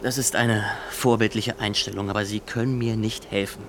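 A man's voice: on the low side, steady tone, normal speed, fairly bright tone. An actor in a German radio drama playing a rigid, dutiful male inspector with formal, clipped, officious speech. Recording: noticeable ambient background noise.

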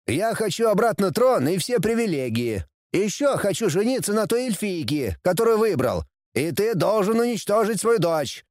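High-pitched, insolent demon brat prince. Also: male voice, big pitch swings, moderate pace, hardly any pauses, darkish tone, dry recording, clean studio sound. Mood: demanding. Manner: insolent, whining, taunting. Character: bratty, cheeky young demon prince, mocking his father.

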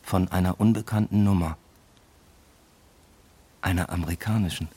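A man's voice: low-pitched, steady tone, moderate pace, mellow, husky. An actor playing a brooding, evasive father who narrates his own story in a literary radio drama. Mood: brooding, reflective. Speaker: narrator and lead character.

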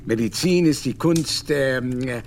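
An actor playing a learned English Jesuit doctor in a radio play, lecturing grandly and groping for German words. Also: male voice, mid-range voice, measured pace, a little mellow, a little hiss or hum, lightly acted. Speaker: Jesuit scholar.

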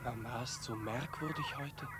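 A woman's voice: very deep voice, normal speed, very noisy. A woman playing a worried child of a holidaying family in a German radio play. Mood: puzzled, subdued.

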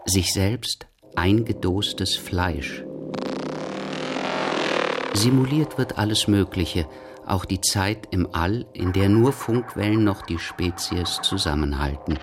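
A man with a standard German accent reads scripted literary radio narration in a measured, deliberate way. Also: deep voice, noisy background.

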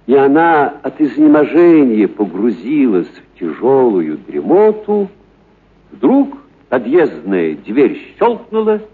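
A man reading literary prose for radio as an actor, with dramatic, deliberate pauses and stressed words. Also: mid-range voice, slightly rough. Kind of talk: expressive literary narration for radio. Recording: narrow, phone-like radio recording.

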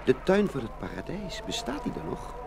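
A man's voice: mid-range voice, very animated, normal speed, vocal fry, background noise. A man playing a polite, eager, wide-eyed young prince in a children's fairy-tale radio play. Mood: amazed, eager. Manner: earnest, courteous.

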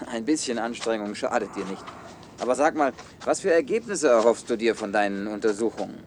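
An actor playing a practical, gently teasing geologist in a science-fiction radio drama, with an assured, matter-of-fact manner. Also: male voice, on the low side, animated, a little fast, noisy background, close to an everyday voice.

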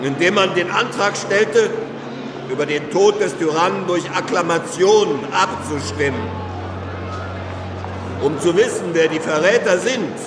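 High-voiced accusing revolutionary deputy. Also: male voice, measured pace. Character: suspicious, calculating revolutionary deputy hunting traitors. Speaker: actor in a radio drama. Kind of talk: radio drama political speech. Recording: roomy, distant, heavily noisy hall.

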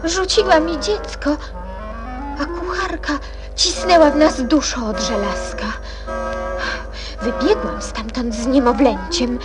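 An actress playing a curious, polite and spirited little girl in a radio play, telling her adventures with wonder and slight indignation. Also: high-pitched. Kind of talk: radio play acting and narration.

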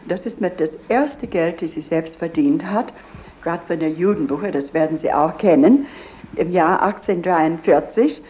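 A woman's voice: lowish voice, normal speed, dark tone, a little hiss or hum. A woman giving guided tour commentary, explaining as she goes in slightly unidiomatic phrasing.

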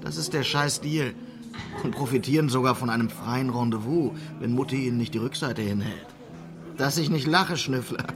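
An actor playing a sleazy, cynical pimp in a noir radio drama, crude, scoffing and defensive. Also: male voice, mid-range voice, normal speed, over music, lightly acted. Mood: defiant. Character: sleazy foul-mouthed male pimp, cynical and dismissive.

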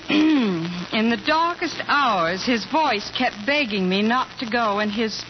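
A woman's voice: on the high side, expressive, takes it slowly. An actress in a 1940s radio comedy playing a flustered but game wife who is posing as another man's wife. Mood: mock-heartfelt. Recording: muffled, noisy old radio broadcast.

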